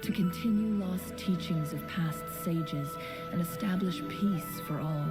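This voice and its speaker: Woman acting wise gentle young heroine, low-leaning voice, unhurried, breathy, poetic and composed, game story delivery, music behind. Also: close to an everyday voice. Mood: solemn, poetic. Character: serene wise young woman with fiery powers, wistful and gentle. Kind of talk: video game story dialogue and narration.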